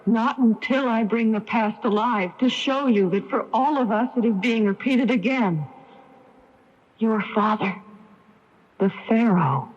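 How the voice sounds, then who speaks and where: On a dull, lo-fi radio recording, a solemn young woman who remembers a life in ancient times. She speaks slowly and intensely, drawing the tale out with drama. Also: medium pitch, darkish tone. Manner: slow, intense, dramatic storytelling. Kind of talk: 1980s radio drama acting.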